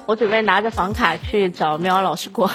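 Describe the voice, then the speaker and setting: A woman's voice: medium pitch, fairly quick, fairly bright tone, soft background music. A woman from Chongqing in China chatting casually as a podcast guest. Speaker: podcast guest, audio drama producer. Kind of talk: casual chat.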